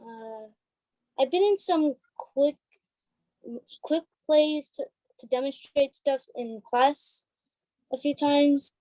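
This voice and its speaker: A woman's voice: high-pitched, slow, pauses often, mellow, dry recording, poor audio. A woman giving casual interview answers as a cast member, hesitant in how she talks. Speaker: novice stage play cast member.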